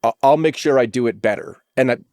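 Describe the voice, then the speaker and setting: A middle-aged man, an actor, telling stories on a podcast in an animated way and swearing freely. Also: mid-range voice, fast, dry recording, clean studio sound. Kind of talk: casual podcast chat.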